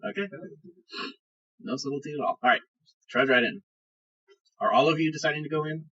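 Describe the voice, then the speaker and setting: A man narrating a tabletop game as game master, improvising descriptions in a halting storytelling manner. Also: a little high, sharp tone, breathy edge, dull audio.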